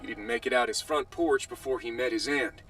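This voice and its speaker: A man's voice: medium pitch, a little fast, close to an everyday voice. A man playing a genial frontier lawman in a western video game, with a folksy, encouraging manner, over music. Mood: businesslike. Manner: animated, encouraging, colloquial. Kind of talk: video game cutscene acting. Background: background music.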